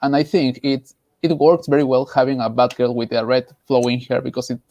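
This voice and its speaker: A man from Peru with a Peruvian Spanish accent, giving casual opinions as a panelist in an emphatic, halting way, often repeating phrases. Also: mid-range voice, level tone, darkish tone, no echo. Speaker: panelist, artist.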